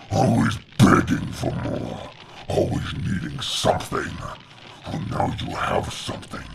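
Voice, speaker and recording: A huge demon lord of hell, cruel and taunting. The deep voice crackles with heavy gravel and swoops theatrically over a noisy, roaring bed in a comic dub. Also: male voice, heavily acted. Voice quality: gravelly, growling. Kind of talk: comic dub villain acting. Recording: noisy, with roaring ambience behind.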